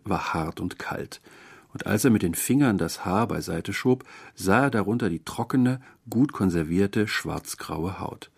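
A man's voice: fairly low pitch, creaky, slightly rough. A man reading literary prose as a scripted storyteller, narrating a tale. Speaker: narrator, reader.

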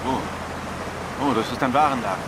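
A plain-spoken river police sergeant played by a male actor in a radio drama. His mid-pitched voice is deferential yet earthy, heard through loud outdoor vehicle noise. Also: a little fast, natural voice. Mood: easygoing, deferential. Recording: loud boat and outdoor ambience behind.

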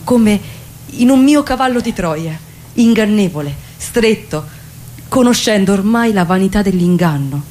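A woman's voice: on the low side, dark tone, a little hiss or hum, natural voice. A woman playing a weary, aging former beauty in a confessional theatrical monologue. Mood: weary, bitter. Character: aging former beauty, weary, rigidly holding herself together.

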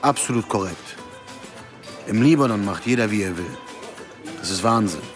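A man's voice: fairly low pitch, lively intonation, moderate pace, lightly acted. Radio drama tough guy, male actor: blunt, mocking street racer from Lebanon, casual threat, music behind the voice. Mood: mocking, menacing. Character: cocky, menacing street-racing man from Lebanon. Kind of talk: naturalistic German radio drama acting.

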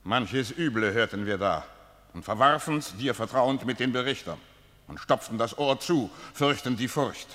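A man's voice: medium pitch, normal speed, a bit bright, natural voice. Accusing city elder played by a man, hall reverb. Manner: formal declamation. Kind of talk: stage-like verse radio drama.

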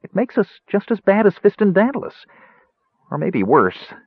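A man's voice: medium pitch, wildly swooping pitch, a little fast, breathy edge, no room sound, muffled recording, voice pushed up. A man voicing a small, guileless kender from a fantasy story, chattering eagerly and earnestly in a rambling way. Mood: earnest, troubled. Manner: rambling, eager, matter-of-fact reasoning.

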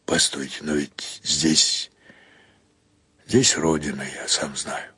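A man playing a tipsy, wealthy boss in a radio play, talking in a halting, offhand and condescending way. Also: a bass voice, little pitch movement, pushed and bright, husky, no echo, pitched below the performer's own voice, heavily acted.

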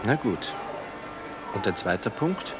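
A dry, skeptical man needles his partner in a radio play. His delivery is wry and conversational, fairly low in pitch, with clear music behind. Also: big pitch swings, takes it slowly. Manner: wry, challenging.

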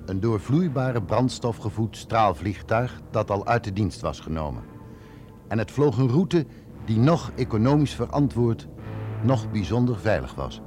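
A man's voice: medium pitch, darkish tone. A man reading scripted narration for a radio drama.

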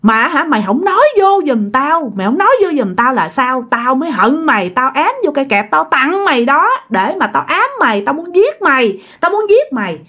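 Woman acting a high-pitched, vengeful female ghost. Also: sing-song, normal speed, fairly bright tone, breathy edge, exaggerated voice. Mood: vengeful, cursing. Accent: Southern Vietnamese. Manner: accusing, repeats phrases insistently, bursts of menacing laughter. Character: vengeful, jealous female ghost possessing a woman, bitter and cursing.

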